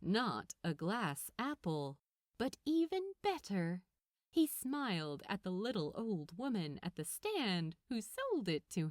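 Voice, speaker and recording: A woman's voice: medium pitch, no echo, studio quality. A woman narrating a piece of fiction expressively, as a scripted audiobook-style reading.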